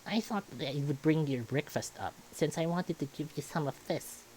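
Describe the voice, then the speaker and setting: A man's voice: on the high side, normal speed, a little mellow, a little hiss or hum, voice pushed up, lightly acted, low-quality recording. A man voicing a polite, reserved raven doctor in a visual novel, a bit hesitant in manner. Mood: helpful. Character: reserved raven doctor, male, slightly annoyed, curious.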